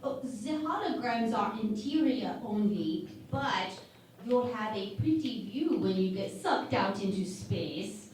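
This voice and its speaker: A cheeky starship medical officer played by a woman in a sci-fi parody radio play. She talks slowly and breezily, with suggestive reassurance, in a very echoey room away from the mic. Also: medium pitch, lively intonation, a little mellow. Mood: cheeky, breezy. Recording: very echoey room, distant mic, faint noise.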